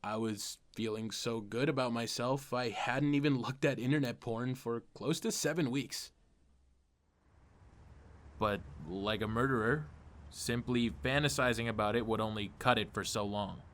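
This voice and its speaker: A man's voice: medium pitch, moderate pace, fairly bright tone, lightly acted. A man playing an earnest, wry teenage comic fan turned amateur superhero, narrating a comic dub in a confessional way. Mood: wry, reflective. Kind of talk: comic dub, first-person narration and dialogue.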